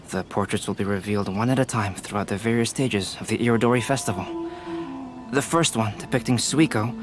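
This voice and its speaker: Man voicing a young painter, composed, measured, game, faint music. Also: medium pitch, lightly acted. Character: calm young male alchemist painter. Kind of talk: video game voice acting. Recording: game audio with faint score.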